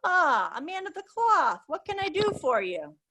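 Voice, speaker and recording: A woman's voice: very high voice, big pitch swings, moderate pace, noisy background. A woman reading the lines of a friendly American male bartender in his thirties, chatty and a little cagey.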